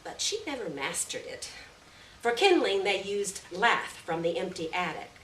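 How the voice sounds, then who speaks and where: A woman reading a literary short story aloud at a live event, with measured, deliberate phrasing and stressed words. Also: mid-range voice, very animated, fairly bright tone. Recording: distant roomy live recording, faint noise.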